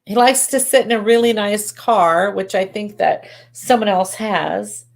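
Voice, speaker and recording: A woman doing live psychic readings who sounds congested and talks in halting bursts, often restarting and correcting herself. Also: mid-range voice, steady tone, creaky. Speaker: psychic medium. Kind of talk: live-stream readings, casual chat.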